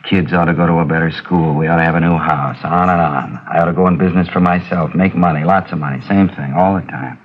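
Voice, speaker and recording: An actor playing a bitter, cornered middle-aged husband in a radio crime drama, defensive and complaining. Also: male voice, low-pitched, fairly even intonation, quick pace, hardly any pauses. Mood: bitter, resigned. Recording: dull, noisy 1950s radio recording.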